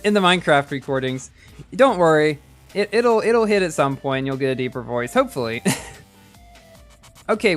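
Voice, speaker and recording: Young man, early twenties, fairly high voice, casual stream chatter, rambling restarts, faint music behind. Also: a bit bright. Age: early 20s. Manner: rambling and chatty, often repeating words as he restarts. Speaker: gaming livestreamer reading chat. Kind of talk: casual live-stream chat.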